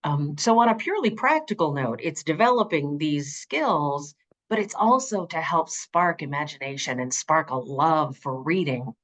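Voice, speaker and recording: A middle-aged woman speaking conversationally in an interview as an audiobook narrator, with earnest, expressive and emphatic phrasing. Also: low-pitched, moderate pace.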